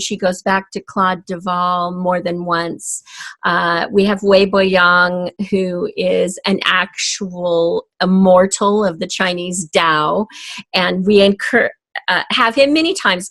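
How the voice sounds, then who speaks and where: A woman explains her creative process at an unhurried, slow pace, in a dry recording with little room sound. Her pitch is average and her delivery is that of a conversational interview guest. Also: a bit bright, no echo. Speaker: guest, author of an audio drama.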